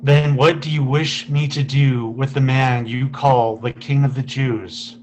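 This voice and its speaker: A man playing a stern Roman governor in a dramatic scripture reading, loud and declamatory. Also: mid-range voice, fairly even intonation, unhurried, natural voice, poor audio. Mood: demanding.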